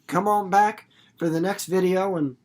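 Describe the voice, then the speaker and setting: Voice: mid-range voice, fairly even intonation, moderate pace, a little mellow. Casual teacher-narrator, mid-range voice, informal, rambling, theatrical, explaining a story to students. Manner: rambling, theatrical, with acted-out examples and sound effects; informal, playful, repeats words for emphasis. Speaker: reader and literature teacher hosting a read-aloud video series. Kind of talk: casual read-aloud commentary and explanation for students.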